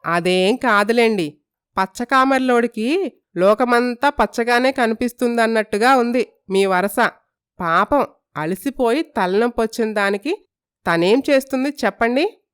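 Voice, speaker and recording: A woman voicing an excited, doting middle-aged mother-in-law in a cartoon story, gushing and fussy. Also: on the high side, measured pace, smooth, close to an everyday voice. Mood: defensive.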